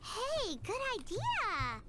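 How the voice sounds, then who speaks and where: Tiny squeaky fairy sidekick girl, chirpy n nosy, super high n bouncy, video game. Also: huge pitch swings, drawn-out words, very noisy, full character voice. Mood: eager. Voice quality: squeaky, childlike. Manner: refers to self in third person, exclamatory.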